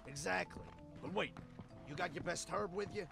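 A man's voice: high voice, moderate pace, vocal fry, music in the background. A man voicing a grumpy, foul-mouthed, bossy rabbit in his sixties for a cartoon adventure game. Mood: scheming, laid-back. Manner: sweary, bossy, slangy. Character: grumpy foul-mouthed 63-year-old cartoon rabbit, scheming salesman, male. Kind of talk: voiced game dialogue.

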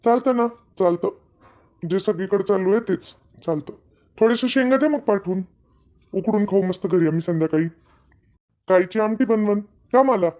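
An easygoing village husband from an animated comedy, voiced by a man. He talks in a homely, questioning way with a rural Maharashtrian Marathi accent. The audio is muffled and lo-fi. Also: sits high, normal speed, long pauses, close to an everyday voice. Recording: dull, lo-fi audio.

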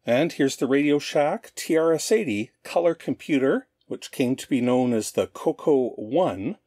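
A middle-aged man giving an informal show-and-tell narration as a host, explaining things in a measured way. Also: mid-range voice, animated, darkish tone, no room sound, clean studio sound. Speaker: host of a retro computing show.

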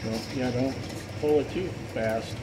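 A man's voice: medium pitch, unhurried, very noisy. A man working as a plumber, talking casually through a drain job with lots of fillers. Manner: relaxed, explanatory, trails off with fillers. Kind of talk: casual how-to commentary while working. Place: basement utility area, indoors.

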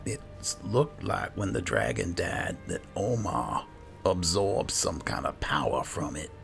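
Very gravelly low-voiced male gamer. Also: sing-song, measured pace, faint music behind. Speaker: gaming video host. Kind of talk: casual roleplay game commentary.

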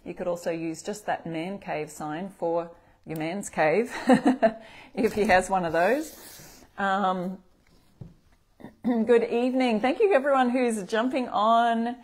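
A woman's voice: mid-range voice, slow, a little mellow. Chatty Australian woman hosting a craft live. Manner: chatty, greeting viewers by name. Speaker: craft demo host. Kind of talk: live-streamed craft tutorial. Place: bright indoor room at a craft table.

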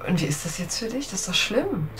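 Quick, probing woman interviewer. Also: mid-range voice, very animated, music in the background. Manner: direct, probing questions. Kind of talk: off-camera interview questions.

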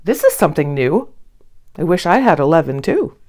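A woman in her thirties reading a rhyming children's picture book aloud in an expressive, theatrical manner with deliberate phrasing. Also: deep voice. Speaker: children's storybook reader. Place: small indoor studio with blue backdrop.

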